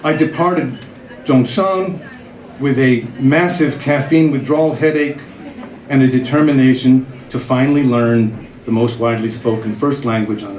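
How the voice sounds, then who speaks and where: A man's voice: medium pitch, a little mellow. A middle-aged man, a writer and former cartoonist, reading his humorous column aloud at a literary event in a wry, self-deprecating way. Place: literary festival venue. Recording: echoey room.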